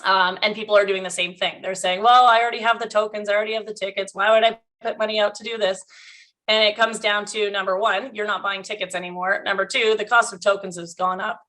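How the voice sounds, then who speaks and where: Woman, bright voice, a little hoarse, casual business chat over a video call. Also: mid-range voice, steady tone, fast talker. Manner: chatty, laughs often, restarts sentences. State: slightly losing her voice. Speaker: business owner, guest.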